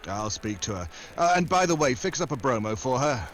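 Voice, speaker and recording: An actor playing a glib, flirtatious American secret agent in a 1940s-style radio drama, smooth and wisecracking. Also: male voice, mid-range voice, very animated, brisk, a bit bright, slight background noise. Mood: flirty, evasive.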